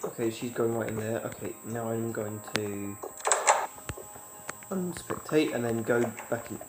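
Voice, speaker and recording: A man with a cold, sniffling, chatting casually to his viewers while he plays, and shouting when things go wrong. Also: medium pitch, unhurried. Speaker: gaming YouTuber. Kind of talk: casual gameplay commentary. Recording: roomy with loud game music.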